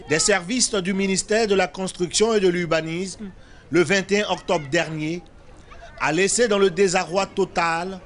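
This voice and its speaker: A man reading a scripted news voice-over in a measured, formal way. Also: high voice. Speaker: news reporter.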